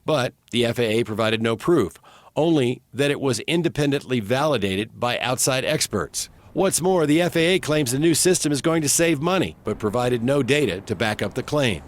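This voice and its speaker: American guy, tv investigative reporter, scripted news voiceover, mid pitch. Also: animated, normal speed.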